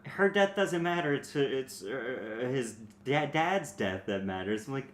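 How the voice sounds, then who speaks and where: A man in a casual, comedic podcast book discussion, talking in an animated, profane way. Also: medium pitch, a little slow. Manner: animated, acts out joke voices, profane. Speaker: podcast panelist. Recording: echoey room with faint noise.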